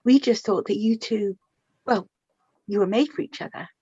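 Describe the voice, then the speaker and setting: A woman playing a gentle, flustered middle-aged widowed mother in a radio drama, halting and repeating her words. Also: medium pitch, slightly rough, no echo, muffled recording, natural voice. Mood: fond, nostalgic. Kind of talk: naturalistic radio drama dialogue.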